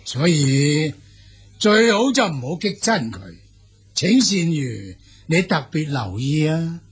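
Man acting a husky, high-pitched Han envoy. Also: big pitch swings, soft background music, exaggerated voice. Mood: stern warning. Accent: Cantonese. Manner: formal, emphatic, deliberate praise and veiled warnings. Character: smooth-talking boastful Han Chinese military envoy, adult man. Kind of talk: Cantonese audio drama acting.

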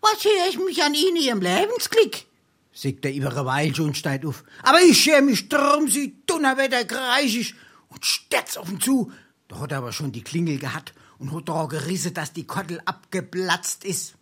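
A penniless, wily braggart in a Hessian dialect comedy. His delivery swoops widely, with theatrical pauses and a thick local Darmstadt flavour as he spins tall tales. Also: high-pitched, very animated, fairly bright tone, slightly rough, full character voice. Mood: boastful. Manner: theatrical, braggartly, hesitating for effect. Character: boastful, wily penniless male scrounger spinning tall tales. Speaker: stage actor.

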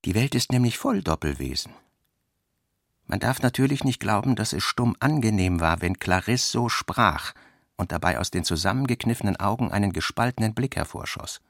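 A man narrating a literary radio play in a measured, expressive storytelling manner. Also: fairly low pitch, vocal fry, no echo, studio quality.

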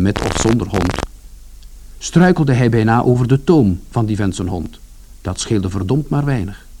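A man's voice: fairly low pitch, mellow. An actor playing a wry, sardonic first-person narrator in a radio play, telling his family story in blunt colloquial words. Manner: sardonic, colloquial, mildly swearing.

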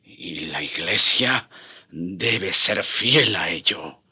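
An actor playing a frail, devout old friar in a radio drama, with a husky, worn voice and a halting, earnest, pleading manner. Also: male voice, deep voice, lively intonation, bright, forceful tone, dry recording, studio quality, full character voice. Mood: fervent. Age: older.

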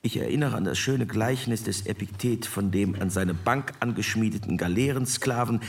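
A learned politician in a radio play debate, formal, insistent, gravelly edge. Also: male voice, fairly low pitch, normal speed. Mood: assured, arguing. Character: learned, assured male delegate in constitutional debate. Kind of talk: scripted docudrama debate.

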